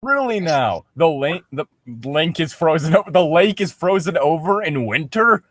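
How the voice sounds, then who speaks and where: Male co-host, slightly high voice, sarcastic banter, very dry close recording. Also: expressive, normal speed, no echo. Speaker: co-host commenting on a story reading. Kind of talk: casual mocking banter.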